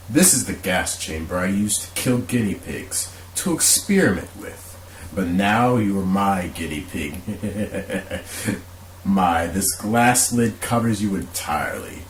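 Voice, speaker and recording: Radio-play villain, played by a man: murderous lab assistant, gloating and menacing, swinging pitch, roomy sound with faint noise. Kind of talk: amateur radio drama acting. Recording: roomy echo, faint hiss.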